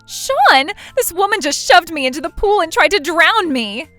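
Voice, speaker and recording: A narrator puts on a brazen, clingy woman, the tipsy rival next door in a story drama. Her voice jumps high and loud, pleading and provocative. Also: very animated, moderate pace, faint music behind, heavily acted. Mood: shrill accusation. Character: brazen, clingy, drunk female neighbor rival.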